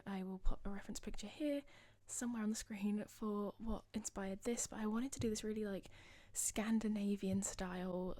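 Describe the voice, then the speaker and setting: Chatty woman, slightly husky. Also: medium pitch, mellow, no echo. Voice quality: slightly husky, losing her voice. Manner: rambling, chatty, self-deprecating. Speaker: gaming channel host. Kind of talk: casual voice-over commentary.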